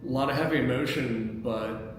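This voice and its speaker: A man's voice: medium pitch, normal speed. Echoey man hosting a table read. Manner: hesitant, repeats words while thinking aloud. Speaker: host and stage-direction reader of a screenplay table read. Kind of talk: reading action lines and giving feedback to a group. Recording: very echoey hall, distant mic, noisy.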